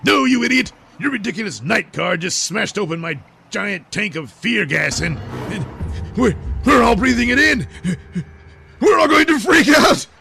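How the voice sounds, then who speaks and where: A man's voice: high-pitched, very animated, normal speed, vocal fry, bright, forceful tone, soft background music, voice pushed up, exaggerated voice. A man voicing a gloating mad-scientist villain in a comic audio play, with a theatrical manner. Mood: panicked. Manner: gloating, theatrical, panics into shrieks. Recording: noisy home recording.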